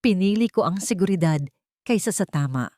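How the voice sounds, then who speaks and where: A woman's voice: mid-range voice, lively intonation, moderate pace, warm tone, no echo, studio quality, natural voice. A woman voicing a serious, emotional elderly mother-in-law who confides with worry and remorse in a narrated story. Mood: remorseful confession.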